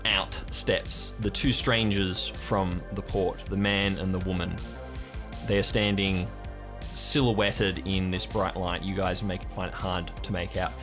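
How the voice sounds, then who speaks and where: Man, low voice, game master, descriptive improvised narration, music behind. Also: little pitch movement, a little slow. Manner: descriptive, building scenes aloud. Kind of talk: improvised narration of an audio drama.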